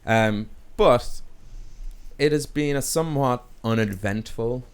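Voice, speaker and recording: A man's voice: medium pitch, slow pace, clear, resonant tone. A young Irish man, laid-back and laughing often, narrating as the game master of a tabletop game, with faint music behind. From Ireland.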